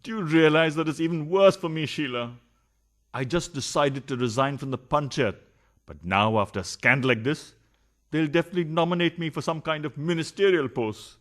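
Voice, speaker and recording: A man's voice: a little high, fairly quick, a bit bright, close to an everyday voice. Man playing a pompous, sarcastic cheating husband. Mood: scheming, smooth. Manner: sarcastic, theatrical. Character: pompous, scheming adulterous husband, a politician in farce. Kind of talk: farcical radio play acting.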